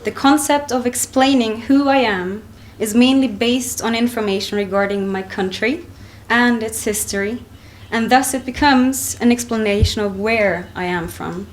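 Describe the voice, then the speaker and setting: A young woman from Finland reading a prepared talk into a lectern microphone in a conference hall, measured and with frequent ums and restarts. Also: on the high side. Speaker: conference speaker. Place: wood-panelled conference hall, indoors.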